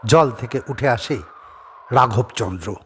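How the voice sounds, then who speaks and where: A man narrating a scripted horror story dramatically, with suspenseful pauses, over atmospheric ambience. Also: mid-range voice. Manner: suspenseful, theatrical phrasing with pauses. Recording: faint background noise and ambience.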